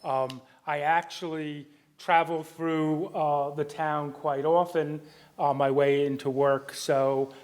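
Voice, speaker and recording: A man with a booming voice moderating a town candidates night, talking with many ums and restarts. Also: little pitch movement, takes it slowly, a little mellow. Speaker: debate moderator. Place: public meeting room.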